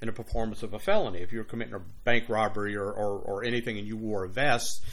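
A man's voice: lowish voice, steady tone, fast talker, a bit bright. A man in his sixties from Louisiana, a retired police officer and author, telling long rambling anecdotes in a casual interview, with frequent "you know" asides. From Louisiana, USA. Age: 60s.

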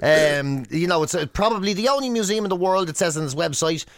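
Man, Irish accent, high-pitched voice, casual comic radio banter, jokey mock enthusiasm, dry studio sound. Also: expressive, brisk, no room sound. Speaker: radio show co-host. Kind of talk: casual comic radio chat, telling funny stories.

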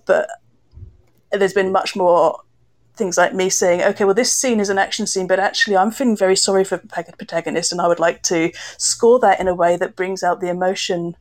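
A woman's voice: fairly low pitch, steady tone, a little fast. A woman composer and sound designer talking reflectively on a panel, thoughtfully searching for her phrasing as she explains her work.

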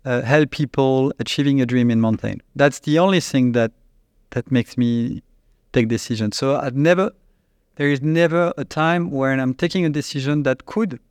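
A man with a French accent, a company CEO, answering interview questions in a halting, searching way with frequent restarts. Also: medium pitch, smooth, dry recording, clean studio sound. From France. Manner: halting, restarts often, searches for English words.

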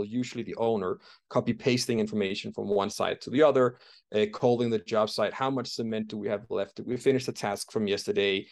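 A man from Denmark, a startup founder in his thirties, answering interview questions in a relaxed, searching way with many fillers and restarts. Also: lowish voice. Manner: thoughtful, frequent fillers and restarts while explaining.

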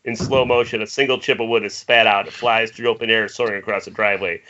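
A man's voice: on the low side, steady tone, quick pace, bright, forceful tone, dull audio. A man narrating the stage directions of a screenplay at a table read over an internet call. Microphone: internet video call.

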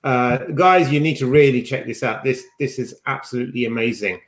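A man's voice: mid-range voice, brisk. Man chatting in an echoey room. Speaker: podcast co-host. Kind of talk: casual chat.

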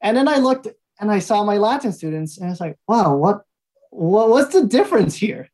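A man in his thirties from Mexico, speaking as an interview guest, telling his story in a relaxed way with frequent fillers and small laughs. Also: high-pitched, normal speed. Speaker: guest, language institute founder. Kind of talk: conversational interview answers.

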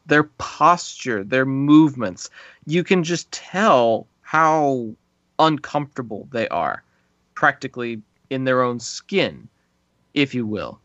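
A man hosting a film podcast, chatting casually and acting out little reactions as he talks. His voice sits at a middle pitch and sounds a little dull and muffled. The sound is dry, with no room echo. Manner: animated, mimics reactions, stammers on restarts. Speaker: podcast host.

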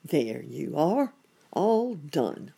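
A storyteller voicing a kindly male bear dentist from a storybook, calm and reassuring in manner. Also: mid-range voice, very slow, vocal fry, slightly rough, full character voice. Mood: pleased.

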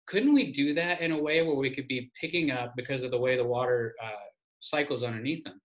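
A man's voice: mid-range voice, brisk, fairly bright tone. A man hosting an interview podcast, chatty and enthusiastic, with many fillers and restarts. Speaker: podcast host. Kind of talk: interview podcast intro and chat.

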